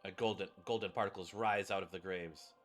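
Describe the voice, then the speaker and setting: A man's voice: sits low, fairly even intonation, a little fast, music in the background. A man giving casual, blunt reaction commentary as a game streamer, grumbling and swearing as he goes.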